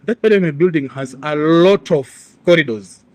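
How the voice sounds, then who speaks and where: A man's voice: on the high side, normal speed. A man with a Kenyan accent speaking as an expert interview guest, explaining things in an emphatic, assertive way.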